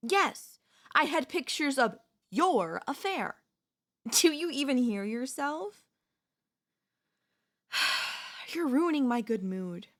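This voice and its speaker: A woman playing a possessive, manipulative wife in an ASMR audio roleplay, speaking to the listener in a sweetly condescending, deliberate, theatrical way. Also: sits high, sing-song, a bit bright, full character voice. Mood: mocking, irritated. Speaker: voice actress.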